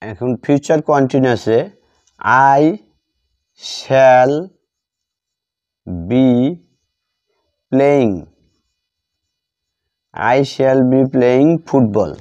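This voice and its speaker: A man with a Bengali accent teaching grammar, dictating deliberately word by word and repeating phrases. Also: mid-range voice, mellow, no echo. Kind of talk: instructional lesson, bilingual grammar explanation. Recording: dull lo-fi sound.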